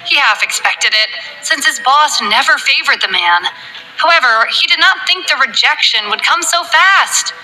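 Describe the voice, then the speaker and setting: A woman narrating a romance novel in a steady, scripted storytelling read. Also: high-pitched, normal speed, faint music behind, low-quality recording.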